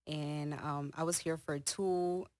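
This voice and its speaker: A woman's voice: deep voice, fairly even intonation, slow, a little mellow, no room sound. Friendly young woman from Colombia. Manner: friendly, laughs easily. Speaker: guest, model and TV host. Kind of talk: casual interview answers.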